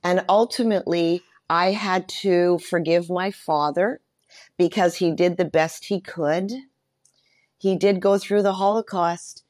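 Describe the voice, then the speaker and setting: A woman in her sixties speaking candidly and reflectively as an interview guest, with measured emphasis. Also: on the low side, steady tone, a little mellow, no room sound, clean studio sound.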